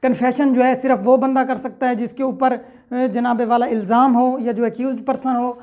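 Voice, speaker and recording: A woman's voice: high voice, darkish tone. A woman giving a methodical law lecture, often checking in with her listeners as she explains each point.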